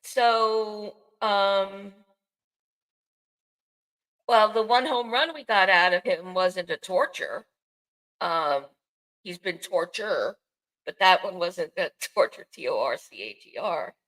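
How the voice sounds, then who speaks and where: A woman's voice: mid-range voice, expressive, slow, pauses often, fairly bright tone, poor audio. An older woman with a Bronx accent, co-hosting a sports podcast in casual, unscripted chat with wry, self-mocking asides. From the Bronx, New York, United States.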